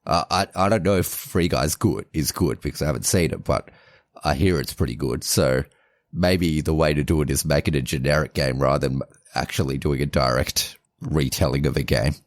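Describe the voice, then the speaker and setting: Very deep-voiced man, dry. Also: dry recording. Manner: measured, thinks aloud with restarts. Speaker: podcast co-host. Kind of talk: casual chat.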